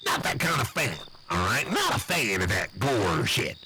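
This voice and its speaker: A man's voice: on the low side, moderate pace, a little hiss or hum. A man voicing a blustery, nervous backwoods uncle with an American accent, rambling and swearing in an improvised comic monologue. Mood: boastful, rambling. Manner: rambling, folksy, profane, excitable.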